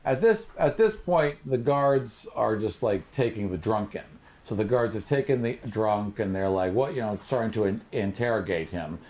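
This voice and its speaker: A man narrating a tabletop fantasy game as game master, improvising in an animated, conversational way with vocal sound effects. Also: lowish voice, moderate pace, few pauses.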